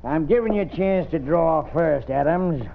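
A man's voice: on the high side, normal speed, gravelly. An actor playing a corrupt, threatening gunman marshal of the Old West in an old-time radio Western, with a commanding drawl. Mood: cold menace. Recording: muffled, noisy vintage radio recording.

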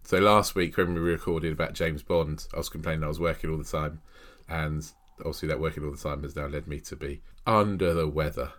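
A man's voice: very deep voice, steady tone, brisk, a bit bright. A man in his thirties with a cold, chatting casually and rambling as a podcast co-host. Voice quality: chatty, laughs often, rambling. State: has a cold, snuffly. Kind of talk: casual film podcast chat.

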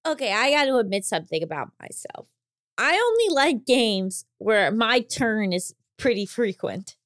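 A woman's voice: a little high, big pitch swings, normal speed, fairly bright tone, dry recording, clean studio sound. A woman podcast host asking curious, frank questions with frequent laughs in casual chat.

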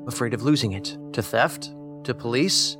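An actor playing an analytical, aloof federal investigator in an audio drama, with a deliberate, cerebral manner. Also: male voice, medium pitch, music in the background, lightly acted. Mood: pensive.

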